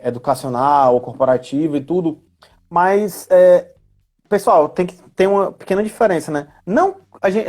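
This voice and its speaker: A man with a Brazilian accent explaining things in a casual live discussion, deliberate and pausing between phrases. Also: sits high, a little mellow. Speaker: live stream discussion participant. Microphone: live video call.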